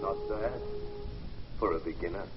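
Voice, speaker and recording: A man's voice: low voice, moderate pace, faint music behind, close to an everyday voice. An actor playing a genteel, eerie ghostly man with a mannered, theatrical delivery in an old-time radio drama. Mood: sly, delighted. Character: courtly, eerie ghostly male dweller of a night-time store. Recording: muffled, hissy old radio recording.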